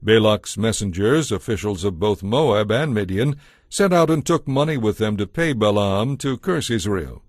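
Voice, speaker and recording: Low-pitched male scripture narrator, dry sound. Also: lively intonation, moderate pace, no room sound.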